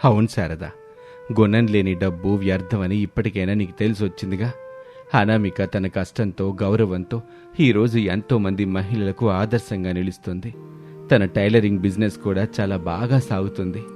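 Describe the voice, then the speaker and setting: A man's voice: lowish voice, steady tone, moderate pace, a little mellow, voice pushed down, lightly acted. A man voicing an emotional, humble elderly father-in-law in a dramatized family moral story. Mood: approving. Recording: music bed under the voice.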